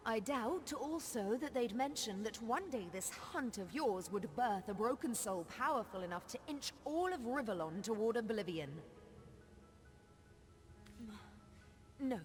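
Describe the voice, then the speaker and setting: A woman's voice: a little high, lively intonation, faint music behind, natural voice. Solemn witch played by a woman in long reverb. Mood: bitter, reproachful. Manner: measured, solemn, formal storytelling. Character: sorrowful witch woman, a proud, confessional forest sorceress. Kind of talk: dramatic fantasy video game monologue. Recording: long reverb on game dialogue.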